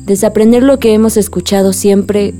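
An actress playing a calm, principled young woman in a radio drama. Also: medium pitch, normal speed, soft background music, lightly acted. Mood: gentle, firm. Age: young adult.

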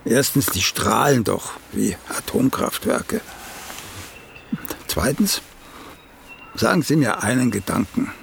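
A vain old playwright in a radio drama, opinionated and demanding. The voice is deeply gravelled and unhurried, yet rises and falls animatedly. A faint noise sits behind it. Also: male voice, very animated, heavily acted. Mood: demanding, fussy. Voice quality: gravelly, creaky voice. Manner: fussy, opinionated, lecturing. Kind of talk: German radio drama acting.

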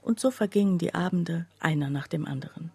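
Troubled woman telling her own story in a radio play, soft dark husky voice, really dry close sound. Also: on the low side, a little fast, dry recording. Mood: confessional. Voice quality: husky, breathy. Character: troubled woman office worker recounting her own breakdown. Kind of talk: scripted first-person radio play narration.

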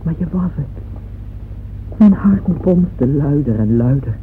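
An actress playing a lonely, yearning older aristocratic woman in a radio play, speaking slowly, wistfully and intimately. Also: sits low, lively intonation, a little hiss or hum. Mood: breathless awe. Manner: slow, wistful, intimate confiding delivery. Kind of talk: old radio drama acting, monologue. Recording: dull old radio recording with music.

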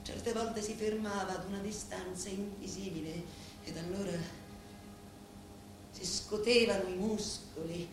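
An actress with a Sicilian accent playing a mob boss's bitter, taunting, sensual wife in a theatrical monologue. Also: female voice, mid-range voice, steady tone, natural voice. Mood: brooding, sensual confession. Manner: scornful, deliberate, theatrical. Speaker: stage actress. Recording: very echoey stage hall, faint noise.

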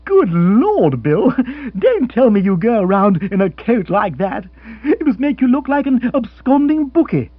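A booming, joke-cracking upper-class man, as a male audiobook reader puts him on. The husky voice sits high and swings widely, full of chuckling asides. The recording is dry and a little dull. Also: sing-song, measured pace, dry recording, full character voice. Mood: jovial. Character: hearty, loud, jokey upper-class man. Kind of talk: audiobook character voice.

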